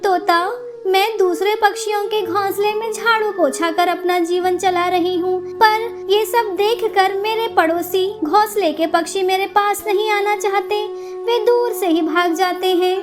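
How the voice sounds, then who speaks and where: Woman voicing a tiny, very high-pitched humble sparrow. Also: steady tone, unhurried, music in the background. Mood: sad, earnest. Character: poor, humble young mother sparrow, earnest. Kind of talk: Hindi children's cartoon dubbing.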